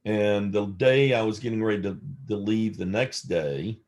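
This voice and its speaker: A mature man being interviewed, telling stories casually and reflectively in a measured, halting manner. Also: lowish voice, a little mellow. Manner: hesitant, measured, halting delivery. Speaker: interview guest, theologian.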